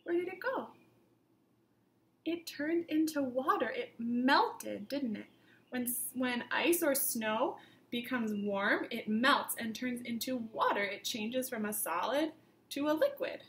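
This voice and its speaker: A woman teacher reading a picture book aloud to young children, with expressive emphasis and simple questions put to the listeners. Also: on the high side, slow, echoey room, a little hiss or hum. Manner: simple instructive phrasing, questions addressed to children.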